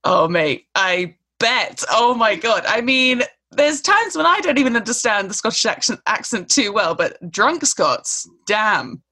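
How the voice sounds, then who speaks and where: A woman's voice: fairly high pitch, big pitch swings, unhurried, hardly any pauses, bright, forceful tone. Chatty woman hosting a chat. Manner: chatty, laughs often, many fillers. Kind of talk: casual chat.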